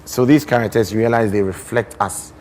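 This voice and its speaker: A man with a Ghanaian accent speaks in a lowish voice as an interview guest. He explains in an expressive, deliberate, teacherly way, leaning on rhetorical questions. There is noticeable ambient noise behind him. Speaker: guest poet and storyteller.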